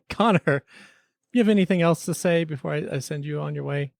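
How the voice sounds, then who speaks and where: A man's voice: on the high side, normal speed, vocal fry, no room sound, clean studio sound. A man hosting a podcast with casual, comic banter in a jokey, pleading manner. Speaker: podcast host.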